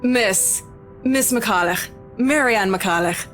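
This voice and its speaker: An actress playing a brisk, politely formal woman interior designer in a visual novel. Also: medium pitch, fairly bright tone. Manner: crisp, polite, professional. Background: faint game music.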